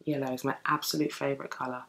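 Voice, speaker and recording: Young woman vlogger, super low sleepy morning voice, chatty makeup tutorial at home. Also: level tone, fast talker, fairly bright tone. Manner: chatty, talks while applying makeup. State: just woken, deep morning voice. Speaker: beauty vlogger. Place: home room with bookshelves, indoors.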